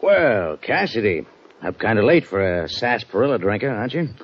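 A sly scheming town boss. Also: male voice, on the low side, very animated, brisk, slightly rough, faint music behind, full character voice. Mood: wary. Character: shifty, scheming frontier town boss man. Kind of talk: 1950s radio drama acting. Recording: muffled old radio broadcast with hiss.

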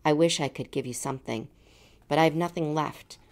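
A woman reading a storybook, voicing a loving, selfless old female apple tree in a gentle, coaxing manner. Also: low-pitched, a little fast. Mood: sorry, worn out. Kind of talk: storybook read-aloud.

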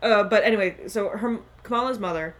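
Enthusiastic young woman, casual podcast talk. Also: mid-range voice. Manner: fast, enthusiastic, filler-heavy. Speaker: podcast co-host. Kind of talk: casual chat about a show.

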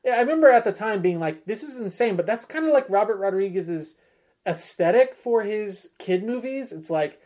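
A man in a relaxed podcast conversation, presenting to the others. His delivery is halting, often circling back and restarting his thought partway through. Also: high-pitched. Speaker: podcast panelist presenting film trailers. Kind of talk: casual chat.

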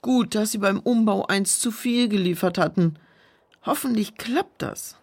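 A woman's voice: mid-range voice, measured pace, a little mellow. A woman playing a worried, earnest, pious housekeeper who is easily flustered, in a children's audio drama. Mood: downcast, worried.

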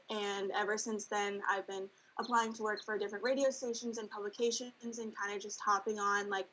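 Bright-voiced 19-year-old woman from Nebraska. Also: mid-range voice, muffled recording. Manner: eager and fast-flowing, piling up details. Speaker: student photographer guest. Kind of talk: casual self-introduction.

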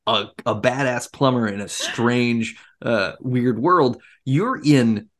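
A man co-hosting a casual film discussion, retelling things in an animated way with sarcastic asides and mock quotes. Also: medium pitch, takes it slowly. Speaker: podcast co-host.

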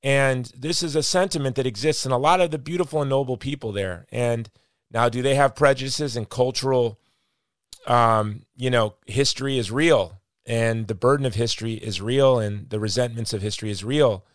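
A man's voice: medium pitch, normal speed, no room sound, clean studio sound. A middle-aged man hosting a conversational interview in a reflective way, repeating phrases for emphasis. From United States. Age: late 40s to 50s. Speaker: interview host.

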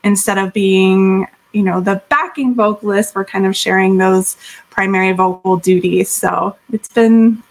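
A woman speaking as an interview guest, casual and informal, with an animated, giggly way of talking. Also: mid-range voice, unhurried. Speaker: podcast guest, band singer.

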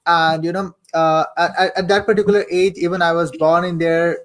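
A young man from Saudi Arabia and Pakistan speaks in a slightly high voice. He tells his own story like a chatty interview guest, informal and fond of repeating a phrase for emphasis. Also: level tone, a little fast. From Saudi Arabia, later Pakistan. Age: young adult. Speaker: podcast guest, affiliate marketer and coach. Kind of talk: casual personal storytelling in an interview.

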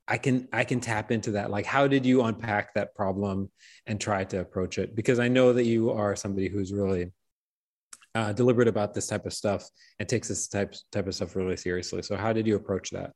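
A man's voice: on the low side, steady tone, brisk. A man interviewing a filmmaker, asking long, thoughtful questions full of restarts. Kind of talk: conversational interview.

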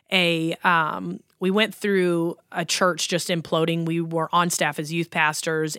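Low bright-voiced woman telling her story. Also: dry recording, studio quality. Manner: chatty, frequent ums and likes. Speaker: worship pastor and podcast host. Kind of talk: casual personal storytelling.

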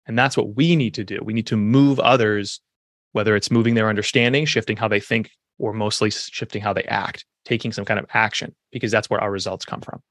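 A man in his thirties, an author and speaker, talking fast and emphatically in an interview, with lots of restarts. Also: fairly low pitch, steady tone, fairly bright tone, no room sound, clean studio sound. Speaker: podcast guest, author and speaker. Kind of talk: conversational interview.

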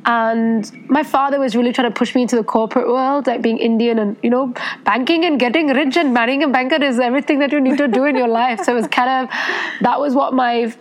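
A woman in her thirties, born in England, recounts her life on a podcast in a flowing, earnest way, circling back and restarting her sentences. Her voice sits a touch higher than most women's. She speaks at home in a quiet setting. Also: steady tone, fast talker. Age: 30s. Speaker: podcast guest, life coach. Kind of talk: casual interview. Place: her home in Bali at sunset.

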